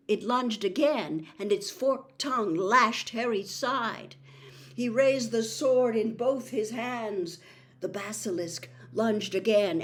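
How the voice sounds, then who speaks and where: A woman reading a storybook aloud as dramatic, suspenseful narration with deliberate, theatrical phrasing. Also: mid-range voice. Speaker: audiobook reader.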